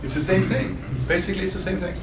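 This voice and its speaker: A man's voice: high voice, fast. A man giving a spontaneous, emphatic product demonstration to a small audience, quizzing his listeners with rhetorical questions. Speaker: product demonstrator for a loudspeaker maker. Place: indoor hotel demo room. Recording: roomy trade show demo, faint background noise.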